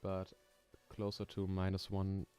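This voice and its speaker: A young man from Germany, speaking English with a German accent, his voice sitting a little low. He talks in a relaxed, unhurried way on a casual live stream, musing aloud with frequent ums and soft laughs while keyboard typing clicks behind him. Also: clear, resonant tone, faint music behind. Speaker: streamer building roller coasters.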